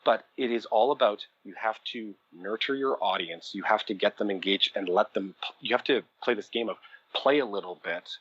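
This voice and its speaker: A man's voice: lowish voice, normal speed, a bit bright, slightly rough, dry recording, muffled recording. A man who produces audio dramas, a guest on a podcast, explaining things in an enthusiastic way. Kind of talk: casual podcast conversation.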